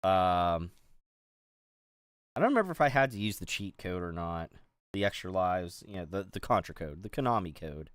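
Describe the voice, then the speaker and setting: A middle-aged man from the Pittsburgh area chats loosely on a stream. His low voice is smooth and resonant, and the sound is close and dry. He rambles, restarts and fills pauses as he goes. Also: lively intonation, no echo. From Pittsburgh area, USA. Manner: rambling, chatty, many fillers and restarts. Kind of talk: casual chat while building a toy set.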